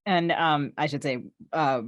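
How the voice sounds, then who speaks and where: Low-pitched woman hosting a podcast. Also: a little slow, no room sound, lo-fi sound. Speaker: podcast co-host.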